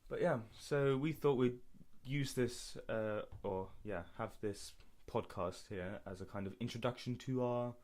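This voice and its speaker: A man's voice: medium pitch, a little slow, few pauses, a little mellow. A young man from Berlin co-hosting a casual podcast, chatting informally to listeners. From Berlin, Germany. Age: young adult. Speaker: podcast co-host.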